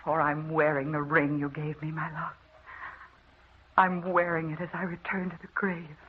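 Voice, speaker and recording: An actress playing a doomed, haunted wife giving a melodramatic farewell monologue in an old-time radio drama. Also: female voice, low voice, little pitch movement, a little hiss or hum, natural voice. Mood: anguished farewell. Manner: melodramatic, slow building dread. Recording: tinny, narrow old radio recording.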